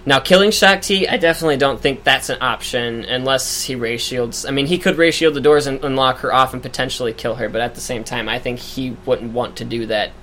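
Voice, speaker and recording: A man with a high-pitched voice co-hosting a casual fan discussion, animated and laughing often. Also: brisk, hardly any pauses, a little hiss or hum. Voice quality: high-pitched for his age. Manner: animated, laughs often, echoes his co-host. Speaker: podcast co-host.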